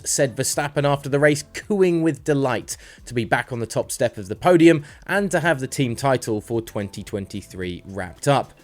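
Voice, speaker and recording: A man presenting motorsport news as a scripted narration. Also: medium pitch.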